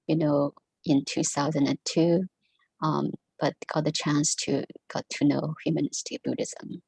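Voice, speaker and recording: A woman whose first language is Mandarin gives a halting talk to an online Buddhist study group, full of pauses and fillers. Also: low voice, vocal fry, a little mellow, husky, no echo, poor audio. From Taiwan. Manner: halting, many ums and restarts. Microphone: video call.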